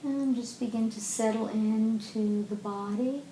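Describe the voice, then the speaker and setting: A woman leading a guided meditation as a teacher, speaking gently and unhurriedly with long pauses in an indoor room. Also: steady tone. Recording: roomy echo, faint rumbling background noise.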